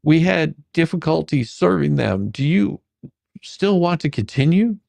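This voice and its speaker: Creaky, swooping, sarcastic attorney, acted by a comic reader. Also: mid-range voice, big pitch swings, measured pace, darkish tone, no echo, exaggerated voice. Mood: probing, sarcastic. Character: bumbling, sarcastic questioning attorney. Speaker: dramatic reader. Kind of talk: comedic dramatic reading of a deposition transcript.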